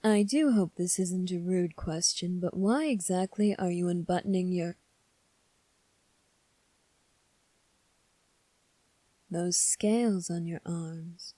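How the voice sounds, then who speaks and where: A woman voicing a composed, coolly defiant young fantasy prince in an ASMR roleplay, speaking formally and deliberately with long pauses. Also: lowish voice, warm tone, no echo. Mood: wary, questioning.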